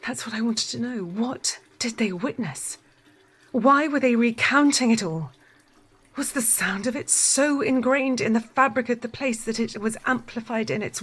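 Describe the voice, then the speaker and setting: An actress playing a haunted, unhinged wife in a dramatic audio drama monologue, deliberate and intense, repeating phrases. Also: female voice, mid-range voice, slightly rough. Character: haunted, unhinged wife narrating a violent confession.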